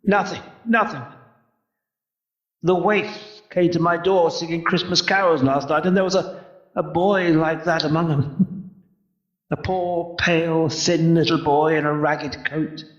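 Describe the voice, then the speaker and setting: A man's voice: fairly high pitch, steady tone, measured pace, natural voice. A man acting an elderly miser in a radio play, stammering, exclaiming and repeating his words with theatrical feeling. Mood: wistful, sorrowful. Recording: roomy, dull audio with music.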